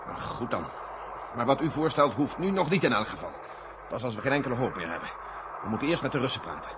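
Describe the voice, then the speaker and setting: A man's voice: medium pitch, very animated, fast talker, lightly acted. Man acting a grave general, dull noisy recording. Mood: urgent. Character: weary, decisive American general at mission control. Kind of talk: 1970 Dutch radio play acting.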